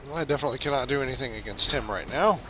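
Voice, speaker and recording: A man's voice: medium pitch, rapid-fire. A man giving casual, offhand live commentary on a video game, half talking to himself as he plays. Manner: offhand, muttering to himself while playing. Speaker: gamer, gameplay host. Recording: game battle noise behind voice. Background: video game combat sounds.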